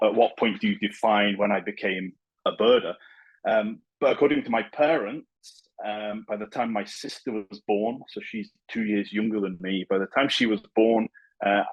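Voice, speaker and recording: A man's voice: lowish voice, husky, low-quality recording. A man in his thirties from Liverpool with a Liverpool accent, a guest telling stories at home over a video call. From Liverpool, England. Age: 30s. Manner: relaxed, storytelling, with stammered restarts. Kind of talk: casual video-call interview.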